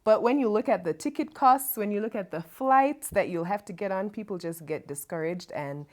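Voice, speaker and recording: A woman's voice: medium pitch, moderate pace. A Jamaican woman co-hosting a casual chat, talking freely and laughing easily. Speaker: co-host.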